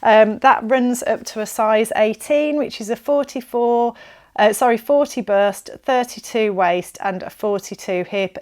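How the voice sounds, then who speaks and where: A woman's voice: medium pitch, darkish tone. A woman chatting casually and enthusiastically to viewers as she presents a product review video. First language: English. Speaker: presenter of a sewing shop's pattern review video.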